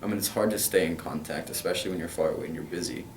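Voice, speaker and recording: A man's voice: low voice, racing, roomy sound, far from the mic, a little hiss or hum. A young man from Kentucky answering interview questions about his school in an earnest way, with plenty of hesitant fillers. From Kentucky, USA.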